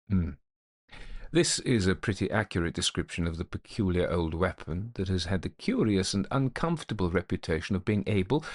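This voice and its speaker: A man playing a gentlemanly, methodical investigator of hauntings, recounting his case in a formal, old-fashioned storytelling manner. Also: lowish voice, close to an everyday voice. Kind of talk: dramatic first-person storytelling, old-fashioned ghost story.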